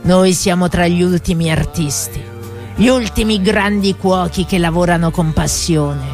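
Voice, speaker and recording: A roguish old cook played by a woman speaks in a hoarse, cavernous voice. He is blustering yet tender, stretching words theatrically in a radio play, with music behind him. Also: on the low side, unhurried, darkish tone. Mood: wistful tenderness.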